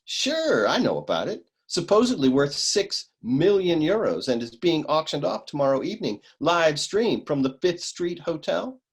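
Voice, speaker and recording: A man playing a cocky, cool, lecherous private eye with deadpan swagger in a comic noir radio play. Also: on the high side, moderate pace, few pauses, slightly rough, exaggerated voice. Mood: smug, deadpan.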